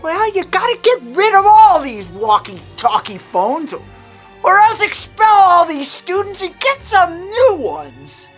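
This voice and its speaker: A woman's voice: very high voice, sing-song, a little slow, heavily acted. A middle-aged woman playing a strict, cranky schoolteacher who hates phones, scolding and mocking her students in a scripted comedy skit. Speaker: teacher. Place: staged classroom set. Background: music bed.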